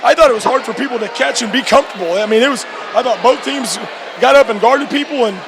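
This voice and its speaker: High-pitched, gravelly male coach, crowd noise behind. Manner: repetitive, halting restarts mid-phrase. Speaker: high school basketball coach. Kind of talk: postgame radio interview. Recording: noisy gym with loud crowd behind. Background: crowd and clear background noise.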